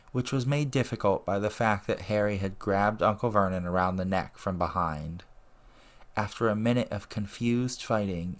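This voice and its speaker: A man reading a story aloud as narrator, in scripted audiobook style. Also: lowish voice. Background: music and ambience.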